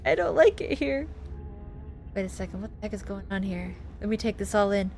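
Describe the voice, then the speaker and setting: A woman's voice: mid-range voice, a little fast, background noise. A woman giving casual, chatty commentary as she plays a visual novel, thinking aloud as she goes. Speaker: gameplay streamer reading a visual novel aloud. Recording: music behind the voice. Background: game music.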